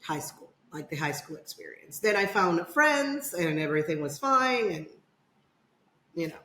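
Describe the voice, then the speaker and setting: A woman's voice: on the low side, sing-song, a little slow, bright, forceful tone. Middle-aged woman guest, rambling story, roomy echo. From Dominican Republic. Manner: rambling, many fillers and restarts. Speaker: podcast guest. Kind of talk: casual personal storytelling. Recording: echoey room.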